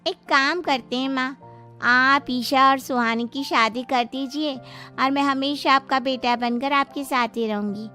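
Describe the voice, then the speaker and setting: A woman's voice: sits high, steady tone, measured pace, pushed and bright, faint music behind. A woman voicing a devoted young adult daughter in a cartoon, earnest and eager. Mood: caring, earnest.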